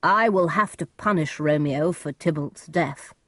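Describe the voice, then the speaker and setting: A stern male ruler from a storybook, played by a woman narrator. He pronounces judgement slowly and with firm authority, in a dry recording. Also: deep voice, a bit bright, no room sound. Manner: slow, authoritative.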